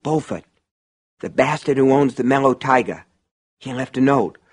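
A man voicing a troubled, gullible small-town man in an audiobook, agitated and halting, with an unhinged edge. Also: medium pitch, animated, husky. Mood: desperate, frightened.

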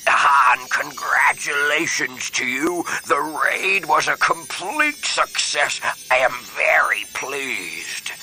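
A man voicing a huge, pompous and jovial slug-like alien crime lord in an audiobook, with a booming, drawn-out delivery. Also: very animated, sharp tone, faint music behind, full character voice, rough recording. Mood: jovial, pleased. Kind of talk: audiobook character acting.

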